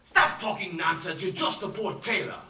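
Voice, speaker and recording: Theatrical old village father, high and echoey. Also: big pitch swings, normal speed, sharp tone, slight background noise, exaggerated voice. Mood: pondering. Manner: theatrical, exclamatory, sarcastic. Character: domineering old village father, exasperated yet soft-hearted. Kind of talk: musical film acting. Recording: echoey film soundtrack, distant, music behind.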